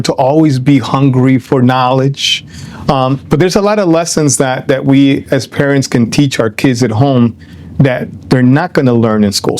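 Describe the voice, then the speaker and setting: A man's voice: medium pitch, a little mellow. Ecuadorian-born man telling his story. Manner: reflective, many fillers and restarts, mixes in Spanish phrases. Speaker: guest. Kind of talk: interview.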